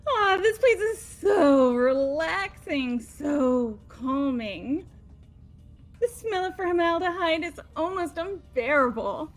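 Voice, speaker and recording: A woman's voice: very high-pitched, lively intonation, slow pace, soft background music, full character voice. A woman voicing a crazed, leering female killer in a video game, giddy and obsessive with gasping, swooning delivery. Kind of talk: amateur voiced video game playthrough.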